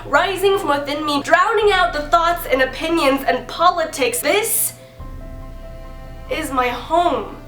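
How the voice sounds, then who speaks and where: A young American woman performing a slam poem, speaking earnestly with dramatic phrasing, deliberate pauses and repetition. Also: high voice, a bit bright, reverberant, soft background music. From San Bernardino area, California, United States. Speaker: amateur spoken-word poet. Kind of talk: slam poetry recitation.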